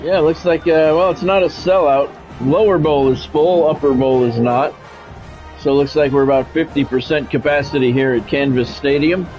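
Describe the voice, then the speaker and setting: A man's voice: fairly high pitch, steady tone, moderate pace, a little mellow, faint music behind, rough recording. A man giving casual live video game commentary with sportscast touches, talking conversationally and thinking aloud. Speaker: video game streamer and play-by-play announcer.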